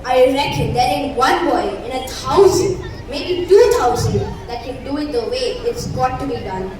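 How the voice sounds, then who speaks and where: A woman's voice: high voice, lively intonation, normal speed. A young girl playing a mischievous, wheedling schoolboy with a folksy, persuasive way of talking in a school play. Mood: smug, persuasive. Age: child or young teen. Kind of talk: children's school stage play. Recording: echoey hall from far off, crowd, noise.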